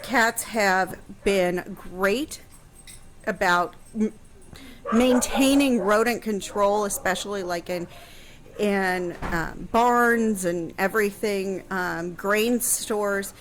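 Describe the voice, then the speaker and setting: A woman explaining things conversationally on a radio show, thoughtful and pausing mid-phrase as she goes. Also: medium pitch, background noise. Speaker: radio guest answering history questions.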